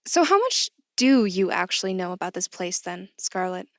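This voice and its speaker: A woman's voice: fairly low pitch, sing-song, normal speed, a bit bright, dry recording, clean studio sound. A woman playing an earnest, humble, questioning office worker in an audio drama, plain and understated. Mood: earnest, probing.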